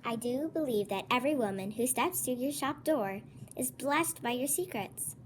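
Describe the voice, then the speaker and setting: Young girl as a high-voiced gushing salon customer. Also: lively intonation, normal speed, slight background noise. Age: child of about ten. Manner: effusive, exclaiming. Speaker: pupil acting in a school radio play.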